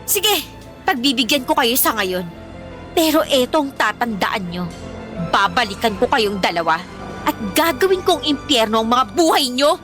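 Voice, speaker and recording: A spiteful teenage rich girl in a Filipino radio drama. Her voice is high and sharp, swinging widely as she taunts and picks fights with haughty disdain. Music plays beneath her. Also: big pitch swings, normal speed. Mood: vicious, threatening. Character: spiteful, rich, pretty teenage ex-girlfriend, a school bully.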